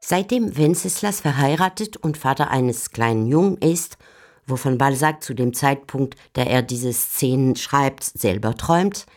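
A man's voice: medium pitch, unhurried, darkish tone. A man delivering scripted, essayistic literary commentary as a radio narrator.

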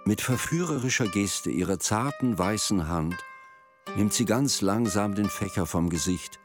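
A man reading scripted narration for a radio drama in a measured storyteller's manner. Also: fairly low pitch, expressive. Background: plucked string music.

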